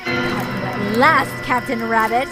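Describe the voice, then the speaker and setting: A woman voicing a gloating fox villain commander in a science-fiction audio drama, theatrical, drawn-out and taunting. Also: a little high, loud music behind the voice, rough recording. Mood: gloating, triumphant.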